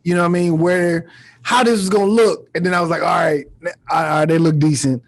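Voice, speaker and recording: A man's voice: fairly high pitch, fairly even intonation, brisk, vocal fry. A man hosting a podcast in casual chat, very informal and slangy, swearing freely. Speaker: podcast host.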